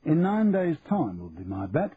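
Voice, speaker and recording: A man's voice: on the high side, big pitch swings, moderate pace, pitched above the performer's own voice. A man with a Cockney accent voicing a shrewd, confident shopkeeper who bargains in a dry, teasing manner. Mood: wry. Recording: tinny phone-like sound with faint hiss.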